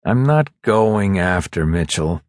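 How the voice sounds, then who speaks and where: A man's voice: low voice, expressive, slow, darkish tone, no echo, studio quality. A man voicing a stubborn, weary Navy SEAL with a curt, firm manner in an audiobook. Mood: defensive. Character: guarded, stubborn male Navy SEAL, weary team leader.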